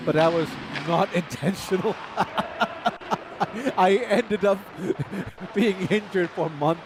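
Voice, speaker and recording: Chatty hockey commentator, noisy rink. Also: male voice, on the high side. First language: Chinese. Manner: chatty, self-deprecating, laughs between calls. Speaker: play-by-play commentator. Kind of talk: live ice hockey commentary.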